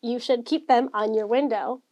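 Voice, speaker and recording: Young woman co-host, slightly high voice, chatty self-deprecating storyteller. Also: steady tone, normal speed, dark tone, highs cut off. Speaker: podcast co-host. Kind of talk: casual chat.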